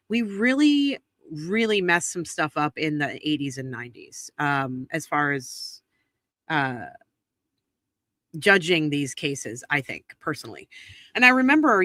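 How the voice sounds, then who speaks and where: A woman's voice: deep voice, bright, forceful tone, no echo. A congested woman co-hosting a true crime livestream, talking casually and thinking aloud with many ums and restarts. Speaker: true crime stream co-host. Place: home studio near the DC area. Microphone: close streaming mic.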